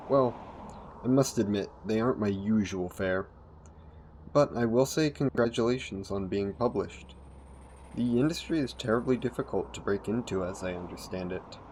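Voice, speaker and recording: A man's voice: on the low side, a little mellow, slight background noise, natural voice. A man playing an ancient, courteous, predatory vampire in an audio roleplay, speaking in formal, old-fashioned phrases. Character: ancient male vampire, courteous, smooth-tongued, predatory former king. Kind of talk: intimate audio roleplay acting.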